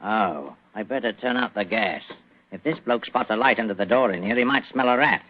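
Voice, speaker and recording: An actor playing a shifty working-class shopkeeper, matey and confiding, in 1940s radio style. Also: male voice, lowish voice, a little fast, a little hiss or hum, close to an everyday voice. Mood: chummy, hushed. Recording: dull, dry old radio recording.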